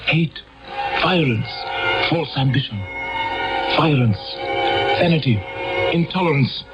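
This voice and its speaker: An actor playing a solemn, commanding master of a mission in an old-time radio drama, formal and incantatory. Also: male voice, fairly high pitch, lively intonation, slow. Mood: ominous, incantatory. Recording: narrow-band old radio recording, loud music.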